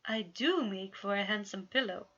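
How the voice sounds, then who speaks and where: A woman's voice: mid-range voice, moderate pace, a bit bright, muffled recording, close to an everyday voice. A woman voicing a dreamy, theatrical young prince in love, in a story reading. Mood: teasing. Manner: theatrical, sighing.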